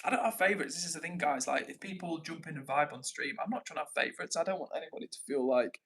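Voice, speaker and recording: Man, English accent, bright forceful voice, slightly high pitch, chatty livestream host, informal, thinking aloud. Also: rapid-fire. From England. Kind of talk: casual live chat reading out comments.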